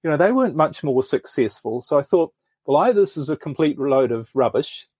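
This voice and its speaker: Husky New man, earnest storyteller. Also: on the high side, expressive, fairly quick, a little mellow, dry recording, clean studio sound. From New Zealand. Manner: earnest, thoughtful storytelling with frequent restarts. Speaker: podcast guest. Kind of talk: reflective life story in an interview.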